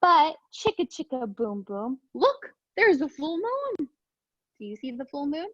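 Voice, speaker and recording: A woman teacher reading aloud to young children in an expressive, sing-song, encouraging way. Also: sits high, unhurried, no room sound, dull audio. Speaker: preschool teacher reading storytime.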